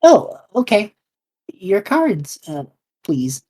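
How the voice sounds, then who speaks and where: A woman's voice: mid-range voice, big pitch swings, very slow, warm tone, slightly rough, exaggerated voice. A woman playing a nervous, hesitant young man who is out of his depth. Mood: unsure. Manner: unsure, flustered, hesitant. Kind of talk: fan audio drama acting.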